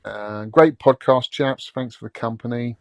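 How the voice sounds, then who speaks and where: A British man co-hosting a podcast, relaxed and easygoing as he chats and reads out listener comments. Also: medium pitch, unhurried, dry recording. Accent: British English. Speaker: podcast co-host. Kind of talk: casual chat, reading listener comments.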